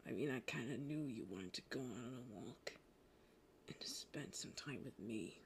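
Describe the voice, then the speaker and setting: A woman playing a gruff, prickly but secretly tender young male half-demon in a roleplay spoken to the listener, brusque with a low, gravelly voice. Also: animated, normal speed, slight background noise. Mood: reluctant, tender. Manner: gruff, brusque, softening into breathy murmurs. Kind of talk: roleplay audio addressed to the listener.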